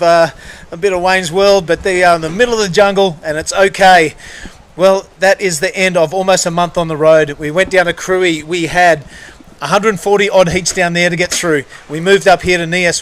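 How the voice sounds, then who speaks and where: High-voiced man hosting surf coverage. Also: steady tone, moderate pace, a bit bright, slight background noise. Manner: matey, informal, enthusiastic. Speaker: surf broadcast commentator and host. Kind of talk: casual live sports commentary.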